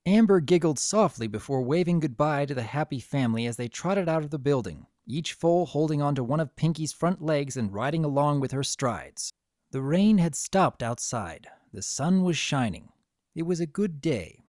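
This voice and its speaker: A man's voice: fairly high pitch, lively intonation, moderate pace, a little mellow, no echo, clean studio sound. A man reading a story aloud as a narrator, in a dramatic scripted storytelling style. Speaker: narrator of a fan fiction story.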